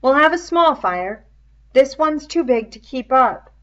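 Woman acting worried practical boy leader, lifted pitch, bright forceful tone, storybook reading, dull recording. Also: expressive, a little slow.

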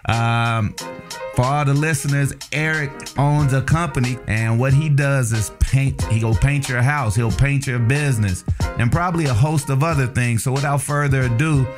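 A man's voice: mid-range voice, normal speed, music in the background. A man hosting a podcast, chatting with a guest in an upbeat, friendly, casual way and laughing often. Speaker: podcast host.

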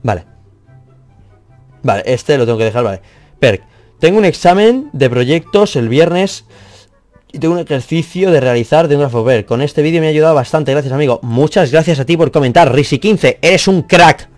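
A man's voice: a little high, animated, normal speed, darkish tone. A young man who grew up speaking Catalan talks into a headset at his office desk, wandering off track as he reacts to comments. He runs himself down and swears offhandedly. Faint music plays underneath. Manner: rambling, self-deprecating, swears casually. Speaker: math youtuber. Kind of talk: reading and answering comments.

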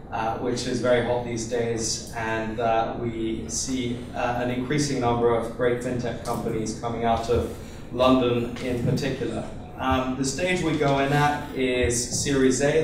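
A young man from Iceland giving a relaxed investor pitch on a conference stage with a handheld mic, full of fillers and restarts. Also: background noise. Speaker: venture capital investor. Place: conference room with seated audience. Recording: echoey room, voice from far off.

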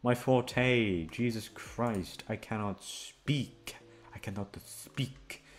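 A man's voice: mid-range voice, slow pace. A young man chatting casually to his listeners, rambling and jokey, often tongue-tied and self-deprecating. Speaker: voice actor, channel creator. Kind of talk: casual outro chat.

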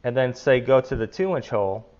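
A man's voice: medium pitch, animated, brisk, darkish tone, dull audio. A middle-aged man hosting a casual woodworking video, chatty and enthusiastic as he demonstrates a tool in his kitchen. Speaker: woodworking channel host. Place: home kitchen, indoors. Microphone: lapel mic.

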